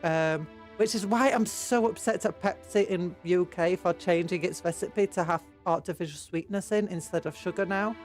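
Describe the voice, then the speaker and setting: A woman's voice: on the low side, normal speed, hardly any pauses, a little mellow, soft background music. A British woman streamer with a cold, blocked nose and sore throat, rambling in casual chat with her viewers. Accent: British English. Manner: rambling, chatty, reads and answers chat.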